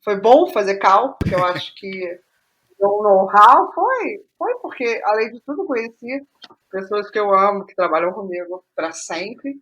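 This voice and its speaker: Female interview guest, middle-aged, Rio de Janeiro accent, medium pitch, casual storytelling with repetitions and restarts. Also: lively intonation, measured pace, fairly bright tone, a little hiss or hum, poor audio. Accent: Brazilian, Rio de Janeiro. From Rio de Janeiro state, Brazil.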